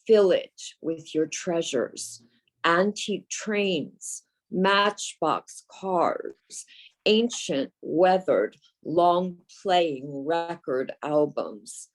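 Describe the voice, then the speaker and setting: Slow, low-pitched woman reading poetry. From United States. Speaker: poet.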